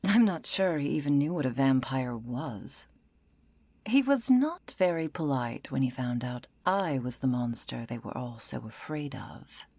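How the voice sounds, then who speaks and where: A low-voiced, century-old vampire woman, played by an actress in an intimate ASMR roleplay. She speaks slowly and softly, slipping toward whispers. She is composed and wry, with polite menace and a trace of loneliness. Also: animated, no echo, heavily acted. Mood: cool, resentful. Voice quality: soft, breathy, often falling into whisper.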